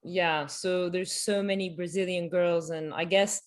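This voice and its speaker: A woman co-hosting a podcast keeps things easygoing, answering with friendly agreement and chatting loosely. Her voice sits on the low side for a woman. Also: steady tone, unhurried. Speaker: podcast co-host. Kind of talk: casual interview chat.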